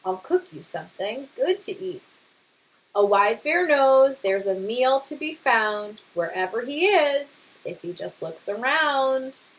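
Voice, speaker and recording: A woman's voice: fairly high pitch, lively intonation, slow pace, low-quality recording. A woman voicing a boastful, bumbling father bear in a storybook read-aloud, with an emphatic manner. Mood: showy.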